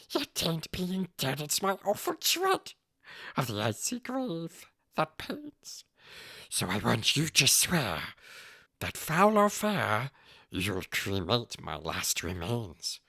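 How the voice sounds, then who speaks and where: Casting: male actor as wretched little fantasy creature, twitchy and whining. Harsh, forceful strained rasp, erratic swoops, slow dramatic recitation, close dry sound. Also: high voice, no room sound, heavily acted. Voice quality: raspy, croaky, strained throat. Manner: talks to self in plural, erratic swoops. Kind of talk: dramatic poem recitation in character.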